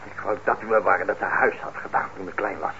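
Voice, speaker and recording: An actor playing a sullen, resentful young ex-convict son in a radio play, curt and sarcastic. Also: male voice, lowish voice, steady tone, fast, creaky, sharp tone. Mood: bitter, sarcastic. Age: young adult. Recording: dull, noisy old radio recording.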